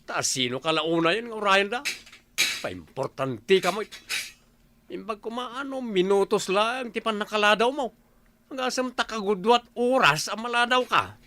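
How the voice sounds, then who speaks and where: A man's voice: high-pitched, lively intonation, normal speed, bright, forceful tone, a little hiss or hum. A man acting as an exasperated son in an Ilocano comedy radio drama, nagging and reproachful, with an Ilocano accent. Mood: scolding.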